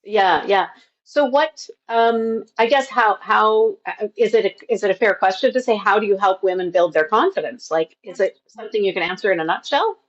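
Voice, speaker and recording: A woman's voice: mid-range voice, expressive, normal speed, lo-fi sound. A woman host and business coach, chatting casually over a video call with many "like" fillers. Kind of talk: casual interview chat. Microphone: video call setup.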